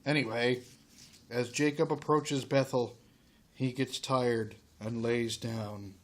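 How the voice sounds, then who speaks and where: A performer putting on an overdramatic, deadpan narrator in a scripted comic adventure spoof, speaking slowly and portentously. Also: mid-range voice.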